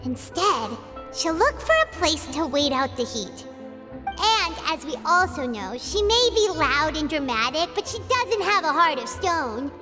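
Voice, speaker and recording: Tiny childlike fairy sidekick, very high and squeaky, swooping intonation, cheerful know-it-all, video game. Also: female voice, very animated, a little slow, over music. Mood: earnest. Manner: childlike, squeaky, speaks of herself in third person. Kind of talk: English game dub voice acting.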